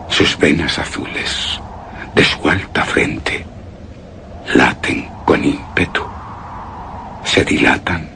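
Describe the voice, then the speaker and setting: An actor playing a grieving, obsessed widower in a suspense and horror radio drama, with a solemn, halting, brooding delivery that drops into whispers. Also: male voice, a bass voice, pushed and bright, slight background noise, exaggerated voice. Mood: anguished dread. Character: grieving, obsessed widower recounting his beloved's death. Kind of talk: Spanish-language suspense and horror radio drama, theatrical monologue.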